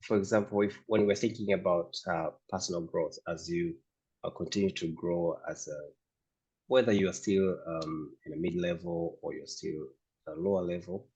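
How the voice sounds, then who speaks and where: A man whose first language is Swahili, interviewing a guest with halting, searching questions. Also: low-pitched, steady tone, darkish tone, husky. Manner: halting, searching for words, many restarts. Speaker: interviewer, host. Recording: slightly dull lo-fi sound.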